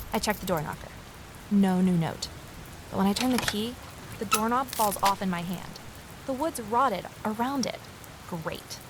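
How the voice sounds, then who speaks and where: A woman's voice: mid-range voice, noisy background, natural voice. A woman playing a wry, uneasy young journalist who narrates a mystery audio drama in a confiding way. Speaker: lead character and narrator.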